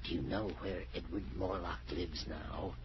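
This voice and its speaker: An actor playing a huge, menacing, brooding stranger in a 1940s radio drama, speaking in a halting, intense way. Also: male voice, low-pitched, fairly even intonation, close to an everyday voice. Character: menacing huge male stranger, obsessed with his freakish hands. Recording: muffled, noisy 1940s radio recording.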